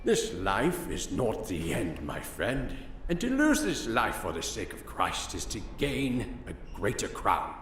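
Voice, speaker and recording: An actor playing a serene, devout imprisoned priest, speaking calmly and solemnly in an audio drama. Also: male voice, lowish voice, unhurried, exaggerated voice. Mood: serene, consoling. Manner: calm, solemn, preacherly. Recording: distant echoey room with loud noise.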